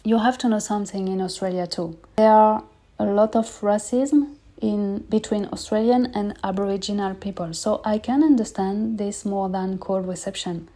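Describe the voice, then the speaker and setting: Mellow-voiced French woman in her thirties. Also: mid-range voice. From France. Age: 30s. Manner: candid and earnest, in non-native English with grammar slips. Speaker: podcast host. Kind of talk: personal podcast monologue.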